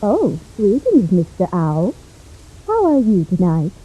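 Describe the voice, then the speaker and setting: Woman voicing a gentle, courteous motherly monster lady. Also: medium pitch, wildly swooping pitch, slow pace, rough recording. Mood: courteous, caring. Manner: polite, formal, soothing storyteller. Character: gentle, caring, courteous motherly female monster behind a door. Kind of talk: comic dub voice acting. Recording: music behind the voice.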